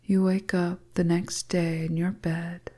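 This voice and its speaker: A woman narrating a scripted story in a hushed, deliberate ASMR whisper, as a storyteller. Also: fairly low pitch, level tone.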